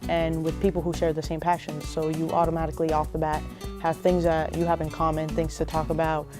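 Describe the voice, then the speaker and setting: Low, flat-voiced young woman athlete. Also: a little fast. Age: young adult. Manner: relaxed, thoughtful, with fillers. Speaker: student athlete interviewee. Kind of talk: interview answers. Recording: music bed under the voice.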